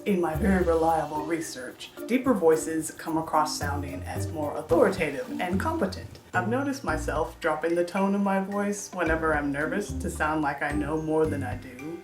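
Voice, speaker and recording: A young woman with a deep contralto voice, talking casually and dryly to camera in a self-mocking vlog. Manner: dry, self-deprecating humor. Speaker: YouTuber. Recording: echoey room, mic at a distance, music behind.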